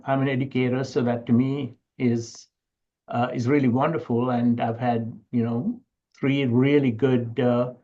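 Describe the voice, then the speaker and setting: A man's voice: mid-range voice, darkish tone. Sri man, slightly dull audio. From Sri Lanka. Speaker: interview guest, university educator and researcher. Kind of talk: conversational interview answers.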